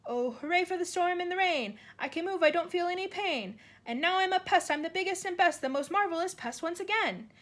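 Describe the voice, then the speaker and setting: A woman doing a shrill gleeful storybook centipede. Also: expressive, a little fast, hardly any pauses, a bit bright. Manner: shrill, gleeful, sing-song rhyming. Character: excitable boastful male centipede.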